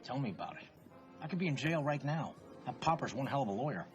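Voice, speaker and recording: Casting: male actor for glib, whiny crooked businessman in a comedy film, very lively pitch, music underneath. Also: quick pace, muffled recording, close to an everyday voice. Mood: glib, dismissive. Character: selfish, evasive crooked businessman facing prison. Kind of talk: live-action comedy film acting.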